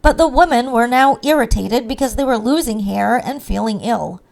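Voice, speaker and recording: A woman's voice: fairly high pitch, moderate pace, creaky, a little mellow. A woman reading a scripted fairy-tale narration as the storyteller.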